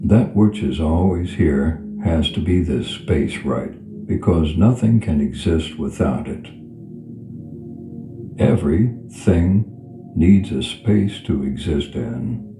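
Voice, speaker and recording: A man guiding a meditation in a soothing, unhurried manner, leaving long pauses between phrases. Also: extremely low pitch, animated. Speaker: meditation guide. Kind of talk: guided meditation. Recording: reverberant voice over clear background music. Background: background music.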